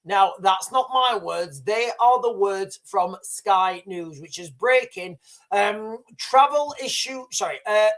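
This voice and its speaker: A man's voice: sits high, expressive, takes it slowly, a bit bright. A man, hosting a casual live stream in a rambling way, repeating himself and calling the viewers guys. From United Kingdom. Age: 40s. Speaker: livestream host.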